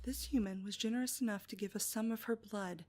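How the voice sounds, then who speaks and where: A woman's voice: mid-range voice, fairly even intonation, brisk, a little mellow, natural voice. A woman playing a helpful, level-headed alien station medic in an ASMR audio roleplay, matter-of-fact and reassuring.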